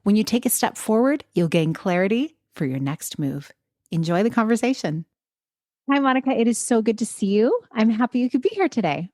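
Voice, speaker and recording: A woman's voice: fairly high pitch, sing-song, brisk, dark tone, dry recording, clean studio sound. A woman hosting a wellness podcast, reading her intro and asking interview questions in an upbeat, encouraging way. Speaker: podcast host and health coach.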